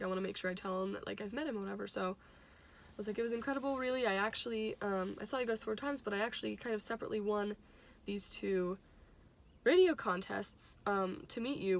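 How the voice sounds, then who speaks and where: A young adult woman casually telling a personal story in an animated, giggly way, acting out bits of dialogue as she goes. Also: medium pitch. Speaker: teacher and music fan telling a personal anecdote.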